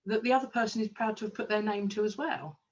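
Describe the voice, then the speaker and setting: A woman's voice: medium pitch, fairly even intonation, quick pace, far from the mic, lo-fi sound. A woman, a kinesiologist and business owner, talking enthusiastically in a casual interview with plenty of ums. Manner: enthusiastic, chatty, with frequent ums.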